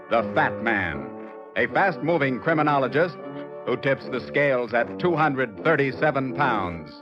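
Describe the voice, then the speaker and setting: An American man announcing a 1940s radio drama from a script in period style. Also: medium pitch. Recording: dull 1940s radio recording, loud music. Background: loud music.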